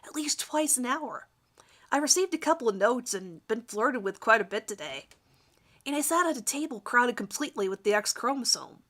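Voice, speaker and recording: Bright-voiced woman giving a dramatic reading. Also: on the high side. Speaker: amateur reader of her own fan fiction. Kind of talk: dramatic reading of a first-person story.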